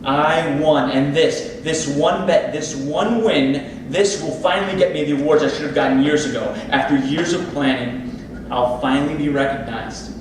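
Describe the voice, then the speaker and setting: A man's voice: fairly high pitch, moderate pace, close to an everyday voice. A man playing a smug, ambitious plastic surgeon on stage, boastful and self-assured in a rhetorical, salesman-like delivery. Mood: proud, intense. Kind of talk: theatrical monologue at a staged play reading. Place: indoor theatre space, distant microphone. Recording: echoey, very noisy room from far off.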